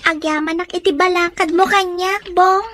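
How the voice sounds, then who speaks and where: A woman's voice: very high-pitched, fairly even intonation, normal speed, fairly bright tone, a little hiss or hum. A woman with a Filipino Ilocano accent playing a young student daughter, reluctant but affectionate, in an audio drama. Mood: earnest, grateful.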